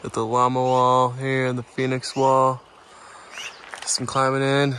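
A man's voice: medium pitch, completely flat delivery, unhurried. A young man casually vlogging a road trip, laid-back and filler-heavy, from inside a truck and at campsites. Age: young adult. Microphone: phone camera at arm's length. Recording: loud road and outdoor noise.

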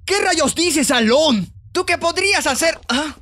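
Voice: high-pitched, animated, a little slow, pushed and bright, heavily acted. A performer playing a hot-headed, protective teenage boy in an anime fandub, emphatic and earnest. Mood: indignant. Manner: emphatic, impulsive.

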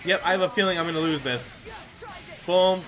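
A man's voice: high voice, fairly quick, music in the background. A man hosting a gameplay video with casual, rambling commentary, full of exclamations and mild swearing. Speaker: gaming video host.

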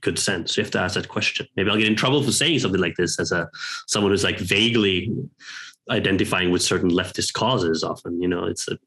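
Guest, male, 30s, lowish voice; halting and thoughtful, video call from home. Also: fairly bright tone. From Norway. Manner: hesitant, thoughtful, many pauses and restarts. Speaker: guest, professor. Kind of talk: reflective interview talk. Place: home room with bookshelves. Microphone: headphones on a video call.

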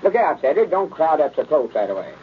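An actor playing a know-it-all, easily exasperated old storekeeper with a rural Southern accent in a 1930s radio comedy. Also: male voice, fairly high pitch, big pitch swings, a little fast. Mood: folksy. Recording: dull, noisy old radio recording.

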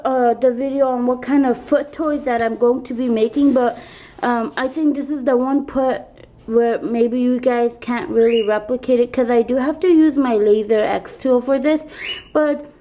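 A congested woman hosting a home craft video, rambling with many ums and self-corrections as she shows her work off camera. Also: a little high. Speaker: craft channel host. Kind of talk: casual show-and-tell narration. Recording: dull lo-fi sound, faint noise.

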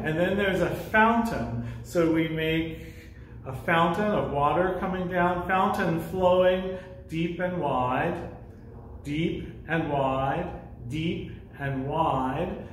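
High-voiced American man in an echoey room. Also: a little hiss or hum. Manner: simple, encouraging explanations with demonstrations. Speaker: church children's music leader. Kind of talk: instructional talk for a children's sing-along. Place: church music classroom with a piano. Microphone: camera microphone a few feet away. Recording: very echoey room, camera-distance sound.

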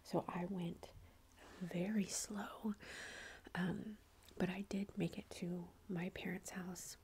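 A woman in her thirties tells a personal story in a soft, steady whisper. Her voice stays flat, with little rise and fall. She chats along with many fillers, and a faint hiss of noise sits behind her. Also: fairly low pitch, takes it slowly. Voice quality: whispering throughout. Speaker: vlogger.

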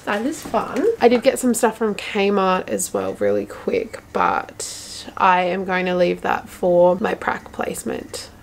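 A young woman in her twenties with an Australian accent, chatting casually to a handheld camera at home, relaxed and rambling with lots of fillers. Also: on the low side, unhurried, creaky, slight background noise. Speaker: vlogger. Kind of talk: casual vlog chat to camera. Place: small home room with plants, indoors. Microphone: camera at arm's length.

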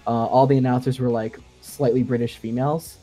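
A man's voice: medium pitch, steady tone, a little fast, mellow, faint music behind. A man in a casual podcast discussion, explaining things in an enthusiastic, nerdy way. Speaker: guest sound designer.

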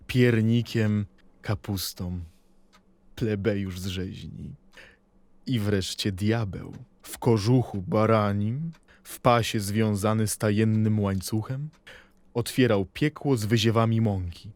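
Aktor jako niski, niespieszny poeta snujący wspomnienia. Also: male voice, lowish voice, no echo. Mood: solemn, dramatic. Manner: reflective, deliberate, with expressive pauses. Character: male poet reminiscing tenderly about his childhood. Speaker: actor in a literary audio play. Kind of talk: dramatic poetry and memoir recitation.

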